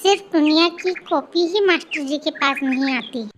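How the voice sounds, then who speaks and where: A woman's voice: sits high, moderate pace, fairly bright tone, slight background noise. A woman storyteller reading scripted narration for a children's story.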